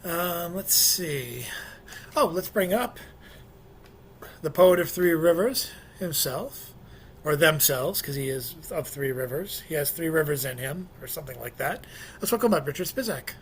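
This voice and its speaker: A man's voice: fairly high pitch, animated, unhurried, slightly rough. A man hosting an online poetry open mic, casually introducing the next readers over a video call. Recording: faint noise on online call.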